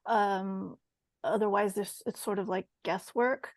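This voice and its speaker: Woman from Taiwan, American-Mandarin accent, halting casual speaker with some gravel, close dry mic. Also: medium pitch, steady tone, no echo. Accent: American English with a Mandarin tinge. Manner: hesitant, many ums and self-corrections. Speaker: course participant. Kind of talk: casual group chat on a video call.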